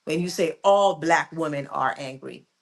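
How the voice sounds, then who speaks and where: A woman's voice: deep voice, moderate pace, creaky, a loud crowd. A woman born in Jamaica who grew up in New York, co-hosting a casual morning talk show and making her points emphatically.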